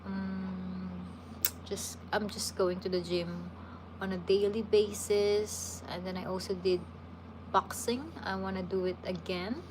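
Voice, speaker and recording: A woman's voice: mid-range voice, takes it slowly, background noise. A woman, chatting casually with viewers as a livestream host and answering their questions. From Philippines. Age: 30s. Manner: relaxed, chatty, with frequent restarts.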